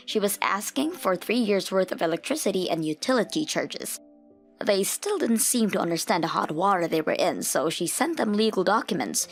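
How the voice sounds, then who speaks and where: A woman narrator with a fairly low voice tells a scripted comic-dub story. Light music plays underneath her.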